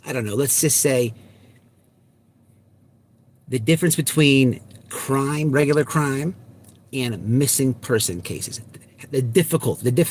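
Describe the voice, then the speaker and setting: A man hosting an unscripted livestream, talking bluntly and repetitively to his viewers, with halting false starts. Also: mid-range voice, darkish tone, a little hiss or hum. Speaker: livestream host.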